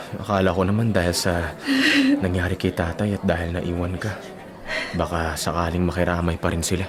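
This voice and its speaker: An actor in a radio drama playing a gentle young working man who softly consoles and looks after his girlfriend. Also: low voice, level tone, moderate pace, a crowd in the background, lightly acted. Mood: consoling. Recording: prominent music behind the voice.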